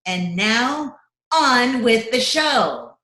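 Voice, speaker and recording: Measured female radio announcer, echoey room. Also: on the high side, animated, loud music behind the voice. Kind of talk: scripted old-time radio announcing. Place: recorded remotely at home. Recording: echoey room on video call.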